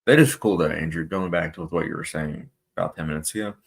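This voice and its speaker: A man's voice: a bass voice, quick pace, vocal fry. A young man hosting a casual live stream, reading out viewer comments and chatting loosely with plenty of fillers. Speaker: movie channel host.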